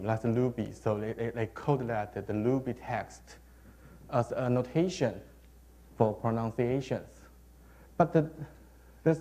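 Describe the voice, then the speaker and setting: A man presenting a technical talk at a conference. He speaks haltingly, stops and starts over mid-phrase, and his phrasing is non-native. His voice sits at an ordinary middle pitch for a man. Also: dark tone. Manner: hesitant, halting, with many restarts. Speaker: conference speaker on web standards.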